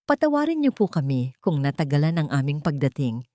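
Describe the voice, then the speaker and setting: A woman's voice: deep voice, very animated, a little fast, warm tone, no echo, studio quality. A woman voicing an elderly male steward, devoted and remorseful, speaking with formal, earnest deference in an audiobook drama. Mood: apologetic.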